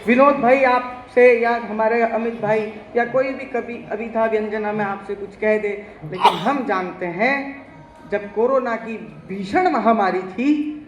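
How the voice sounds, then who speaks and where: Hindi comic poet teasing a live crowd. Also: male voice, sits high, slight background noise. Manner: teasing, addresses audience directly. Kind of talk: live stand-up comic poetry. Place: live stage before an audience. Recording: echoey live venue.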